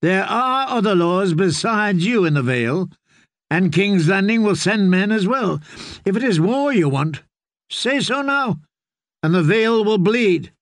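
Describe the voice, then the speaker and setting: A man voicing a cunning nobleman in a fantasy audiobook, formal, emphatic and deliberate, with a cold, commanding manner. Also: high-pitched, expressive, no echo, clean studio sound, voice pushed up. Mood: forceful, defiant. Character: cunning nobleman, coldly angry, commanding, smooth in bargaining. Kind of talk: dramatic audiobook character voice.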